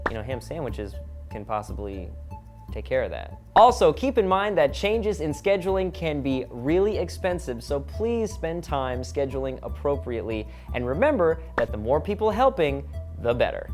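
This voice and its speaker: A man's voice: mid-range voice, big pitch swings, normal speed, darkish tone, soft background music. A man hosting a comedic vlog, explaining things in a scripted, instructional way with casual joking asides. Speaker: vlog host.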